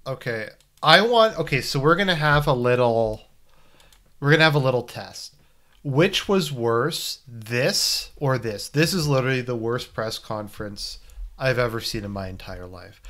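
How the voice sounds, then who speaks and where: A man's voice: medium pitch, lively intonation, normal speed, a bit bright. Sarcastic male streamer. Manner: sarcastic, rambling, loose. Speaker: streamer reacting to clips. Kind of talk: casual live-stream commentary.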